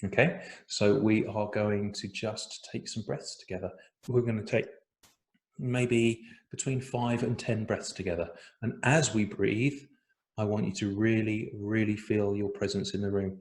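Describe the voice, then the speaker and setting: A man in his forties with an English accent, chatting gently as he leads an online breathwork workshop. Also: on the low side, steady tone, unhurried, echoey room. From England. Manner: chatty, gentle, with many fillers. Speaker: breathwork facilitator. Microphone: video call.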